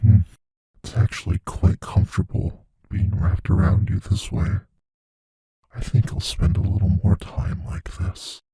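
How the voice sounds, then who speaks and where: An actor voicing a playful, possessive, menacing shape-shifting monster in an intimate audio roleplay, musing slowly in a husky, breathy near-whisper. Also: male voice, fairly low pitch, little pitch movement, dark tone, no echo, full character voice. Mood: content, wondering. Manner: slow, musing, savoring pauses.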